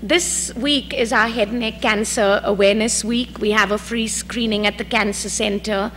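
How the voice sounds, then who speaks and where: A woman with a mid-pitched voice introduces a guest speaker at a medical lecture. She is formal and measured, with an occasional filler between phrases. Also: steady tone, a bit bright, a little hiss or hum. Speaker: faculty host introducing the speaker. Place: lecture hall.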